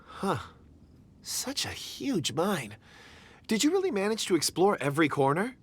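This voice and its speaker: Animated, courteous young gentleman. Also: sits high, a little slow, a little hiss or hum, full character voice. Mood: wondering. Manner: courteous, effusive. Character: polite, eager, admiring young gentleman. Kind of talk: video game voice acting.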